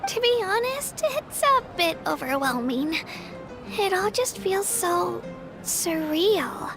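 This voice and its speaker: Earnest high-voiced little warrior girl. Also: lively intonation, slow, exaggerated voice. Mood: overwhelmed. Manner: polite, sincere. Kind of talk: video game voice acting. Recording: loud music behind the voice.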